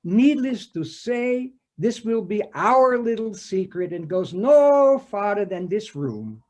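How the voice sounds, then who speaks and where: A man plays a police detective in a comic readers theater sketch. He is formal and pompous, very slow and deliberate, with pitch that wanders widely as he picks out his wordy, hesitant phrases. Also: very animated, darkish tone, heavily acted. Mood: pompous, obliging.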